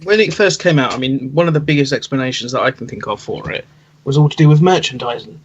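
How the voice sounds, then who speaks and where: A man's voice: medium pitch, quick pace, slight background noise. A man chatting casually as a panelist on a fan podcast, recorded with dull, lo-fi sound.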